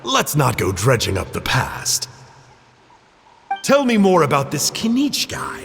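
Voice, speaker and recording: An actor playing a sly, teasing drifter in a video game, smooth-talking and theatrical. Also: male voice, a little high, huge pitch swings, a little slow, a bit bright, big-room sound, faint music behind, heavily acted. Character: sly, teasing adult male drifter, evasive storyteller-charmer.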